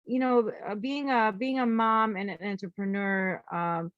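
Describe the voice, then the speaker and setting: Halting woman, dry sound. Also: mid-range voice, fairly even intonation, no echo, muffled recording. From Croatia. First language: Croatian. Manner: halting, many fillers and restarts, laughs easily. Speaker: interview guest, entrepreneur. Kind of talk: casual interview chat.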